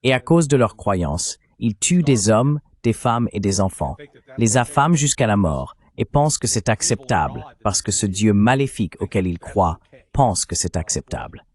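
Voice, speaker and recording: A man's voice: lowish voice, very animated, normal speed, darkish tone. A man's synthetic dubbing voice reading translated political commentary as a discussion panelist.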